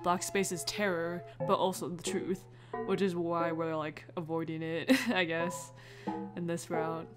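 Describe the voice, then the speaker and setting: A woman doing casual, expressive playthrough commentary as a gamer, often exclaiming as she reacts. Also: lowish voice, a little slow, over music. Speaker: gamer, let's play host.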